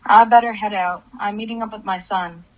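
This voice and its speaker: A woman's voice: medium pitch, fairly even intonation, a little fast, a little hiss or hum, lightly acted, rough recording. A woman playing a troubled, grieving divorced mother in a radio play, subdued and brooding. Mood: cold, regretful.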